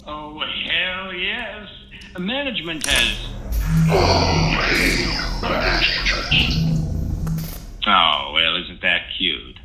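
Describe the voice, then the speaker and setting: A man's voice: fairly high pitch, lively intonation, slow pace. A man playing a smug, petulant show announcer in a campy horror-comedy audio drama, speaking theatrically. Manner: theatrical, indignant. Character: gleeful, aggrieved male announcer, campy and petulant.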